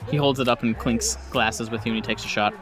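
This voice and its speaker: Male game master, mid-pitched, narrating tabletop roleplay scenes with music underneath. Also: steady tone, fast talker.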